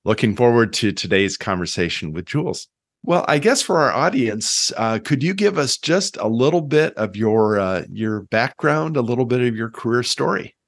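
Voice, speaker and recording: A man hosting an interview podcast in a conversational, somewhat halting way. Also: mid-range voice, sing-song, dry recording.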